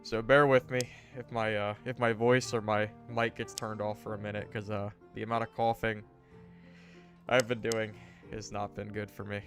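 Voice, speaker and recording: A man's voice: fairly low pitch, normal speed, faint music behind. A man streaming a game, chatting casually with viewers while he recovers from being sick, his voice a bit worn. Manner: rambling, relaxed, greets chat viewers. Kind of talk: casual gaming stream chat.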